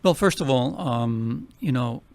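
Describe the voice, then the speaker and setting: A man with a heavy Finnish accent, speaking as a nonprofit president in a radio interview, earnest and halting, with many fillers. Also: medium pitch, animated, vocal fry, no echo. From Finland.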